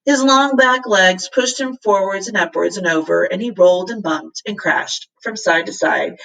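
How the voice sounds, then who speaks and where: A woman's voice: lowish voice, gravelly, fairly bright tone, distant mic, rough recording. A woman reading a children's story aloud with dramatic expression, as a teacher does for a class. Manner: dramatic, acts out the characters' lines.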